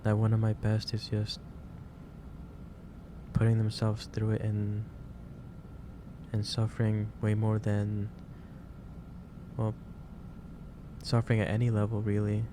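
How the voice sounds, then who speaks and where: A man playing a gentle, caring office boss in a soft-spoken ASMR roleplay, speaking haltingly and repeating himself. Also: lowish voice, completely flat delivery, noisy background. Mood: gently concerned. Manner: hesitant, halting, repeats phrases. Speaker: roleplay performer.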